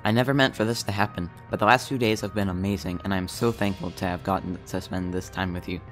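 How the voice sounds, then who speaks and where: A young man voicing Jacob, a gentle, earnest teen boy hiding that he is magical. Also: low voice, fairly even intonation, fast, soft background music. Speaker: voice actor. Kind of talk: amateur voiced game movie.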